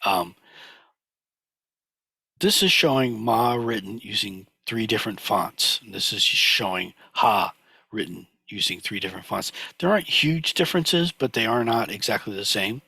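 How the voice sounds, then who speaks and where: Man, teacher, lecturing over slides, slightly hoarse from a cold, halting with fillers, dry close sound. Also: lowish voice, lively intonation, sharp tone, no echo. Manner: halting, many fillers and restarts. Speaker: teacher of a sutra class.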